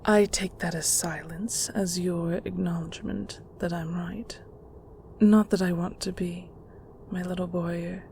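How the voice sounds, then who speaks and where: Actress as controlling matriarch of a magic clan: gravelly, unhurried, condescending endearments, often breathy, noisy ambience behind. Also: female voice, lowish voice, steady tone, warm tone. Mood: hushed, manipulative. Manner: slow, deliberate, condescending, with terms of endearment. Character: stern, manipulative mother of a secret magical family. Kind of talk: scripted audio roleplay drama.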